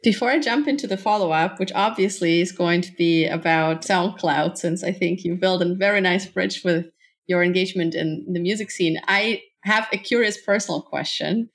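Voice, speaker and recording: A woman's voice: on the low side, moderate pace. A woman hosting an interview, asking questions in a friendly, inquisitive way. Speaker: interview host. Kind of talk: conversational interview.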